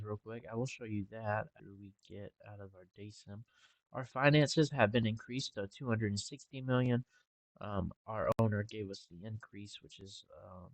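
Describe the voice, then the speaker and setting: A young man talking casually over a game with a congested, stuffy nose and a relaxed, rambling manner. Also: lowish voice, no echo, poor audio. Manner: relaxed, rambling with filler ums. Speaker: gaming channel host. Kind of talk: casual gameplay commentary.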